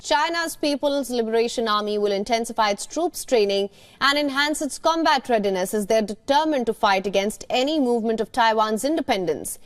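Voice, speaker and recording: A woman news anchor reading a scripted report in a crisp, formal way. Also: fairly high pitch, lively intonation, brisk.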